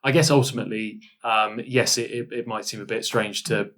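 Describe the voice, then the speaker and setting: A man's voice: lowish voice, fairly even intonation, a little fast, a bit bright. A man chatting casually as a podcast co-host, looking back on a past production. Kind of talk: casual chat.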